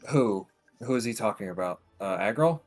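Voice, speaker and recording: A man's voice: fairly low pitch, animated, moderate pace, soft background music. A man playing a tabletop game, talking bluntly and casually, half in character. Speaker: player.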